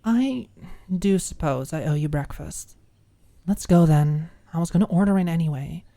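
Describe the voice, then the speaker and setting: A woman's voice: sits low, normal speed, mellow. A woman voicing a flustered, haughty and dramatic female college student in an audiobook, with prim, indignant phrasing. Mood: grudgingly apologetic.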